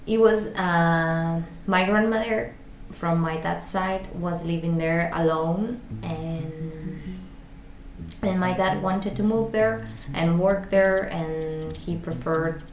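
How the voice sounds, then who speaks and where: A woman in her twenties from Argentina with an Argentine Spanish accent, chatting casually as a vlog host in a halting way, searching for words. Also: fairly low pitch, steady tone, a little hiss or hum. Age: 20s. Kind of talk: casual chat to camera. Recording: roomy echo, guitar music behind. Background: guitar music.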